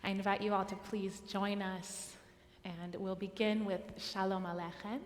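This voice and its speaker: A woman's voice: mid-range voice, flat delivery, unhurried. A woman cantor whose first language is Spanish, leading a Friday evening service in a friendly, informal way with a few fillers. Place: large synagogue sanctuary. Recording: reverberant sanctuary with live band. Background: live band music.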